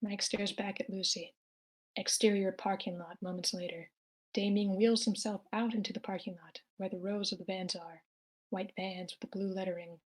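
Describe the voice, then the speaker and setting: Casting: female narrator with a medium-pitched voice, reading scripted scene descriptions. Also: fairly even intonation, moderate pace. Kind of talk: reading screenplay stage directions aloud.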